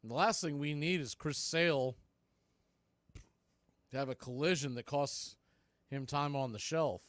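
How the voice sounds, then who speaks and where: A man with a slightly high-pitched voice gives live baseball play-by-play as a radio announcer. He moves between clipped, punchy calls and conversational asides. The sound is very dry, with no room around the voice. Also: a little slow, pauses often, fairly bright tone, no echo.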